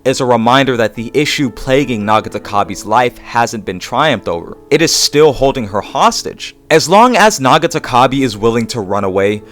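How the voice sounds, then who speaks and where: A man's voice: medium pitch, animated, moderate pace, hardly any pauses, a bit bright. A young adult man reading a scripted video essay narration. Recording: voice-over with background guitar music.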